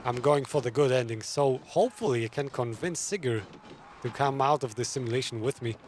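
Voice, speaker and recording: A man's voice: medium pitch, a little fast, a little mellow. A man hosting a gaming video, giving casual, laid-back commentary and conversational reactions as he plays. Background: game music.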